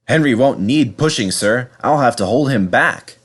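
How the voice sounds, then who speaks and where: A man plays a storybook engine driver with a medium-pitched voice. He is hearty and companionable, a friendly working man who encourages his engine. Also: animated, moderate pace, husky. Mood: confident.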